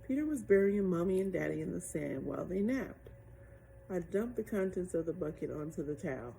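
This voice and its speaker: A woman narrating a children's picture book aloud in an expressive storytelling style. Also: lowish voice, brisk, vocal fry, rough recording. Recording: noticeable background noise.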